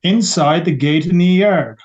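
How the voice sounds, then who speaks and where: A man's voice: a little high, measured pace, a little mellow, slightly rough. A man playing a careful police captain giving clipped, hedging testimony in a courtroom transcript reenactment. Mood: guarded, terse. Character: police captain testifying as witness at 1890s trial, terse, careful.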